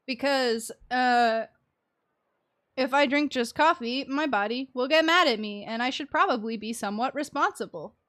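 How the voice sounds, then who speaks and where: A woman's voice: sits high, moderate pace, fairly bright tone. A young adult woman chatting casually and expressively on a game livestream. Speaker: game streamer.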